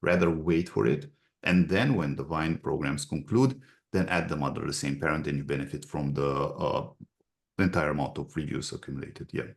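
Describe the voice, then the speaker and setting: A man from Romania with a Romanian accent, explaining advice as an interview guest in a halting, instructive way. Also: rumbling low, gravelly. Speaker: guest expert, agency representative.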